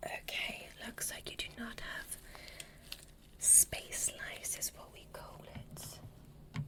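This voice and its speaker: Softly whispering woman, ASMR roleplay. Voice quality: breathy whisper. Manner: soft, soothing, reassuring, unhurried instructions. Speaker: ASMR performer. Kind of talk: whispered ASMR medical roleplay as an alien examiner.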